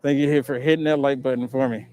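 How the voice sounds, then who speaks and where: A man's voice: medium pitch, fairly even intonation, quick pace, mellow, no echo. A man live streaming on the street, eagerly urging viewers to hit the like button. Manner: upbeat, pushy calls for likes. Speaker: street livestreamer.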